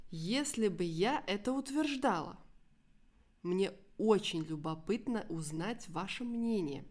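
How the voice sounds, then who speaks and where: A woman language teacher with a native Russian accent and a lowish voice. She speaks very slowly in an educational monologue, articulating each phrase carefully for learners. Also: a little mellow. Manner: careful articulation, explains words in simple synonyms.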